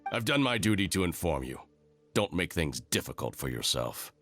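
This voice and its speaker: A stern enemy soldier in a video game, with a deep voice. He talks in formal, condescending phrases, haughty and unyielding, with music behind him. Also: very animated, moderate pace, fairly bright tone. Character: stern, condescending male soldier of an invading faction. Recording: background music under the dialogue.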